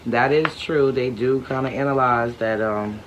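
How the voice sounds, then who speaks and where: A man chiming in casually during a family read-aloud, talking slowly and trailing off quietly. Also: medium pitch, background noise. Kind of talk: casual aside. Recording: dull lo-fi home recording.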